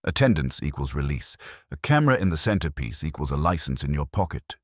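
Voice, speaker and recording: A man plays a shrewd detective's sidekick in a mystery story. The low voice moves with lively pitch, wry and pointed, needling with clipped accusing questions. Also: a little fast, no room sound, studio quality, exaggerated voice.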